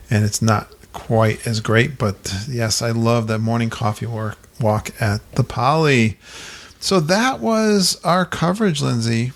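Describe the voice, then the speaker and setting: A man co-hosting a podcast, talking casually and enthusiastically, laughing often. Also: medium pitch. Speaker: podcast co-host. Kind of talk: casual chat.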